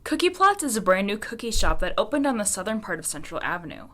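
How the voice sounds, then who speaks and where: Teen girl reporter, lively delivery. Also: medium pitch, fast. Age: teens. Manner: reads a prepared script. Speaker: student reporter. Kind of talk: scripted news report.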